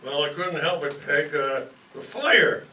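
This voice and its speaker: Man playing a bright, blustering radio-comedy dad, slow-talking. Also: a little high, lively intonation, exaggerated voice. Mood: sheepish. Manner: exclaiming, folksy bad grammar. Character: blustering, excitable, ungrammatical family father. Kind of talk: old-time radio comedy acting, performed live. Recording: roomy, distant stage pickup with faint noise.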